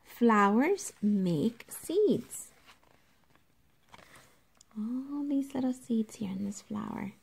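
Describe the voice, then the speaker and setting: A woman teacher reading a nonfiction book aloud to young children, explaining it in a deliberate, instructive way and addressing them directly. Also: a little high, animated, creaky, slightly rough.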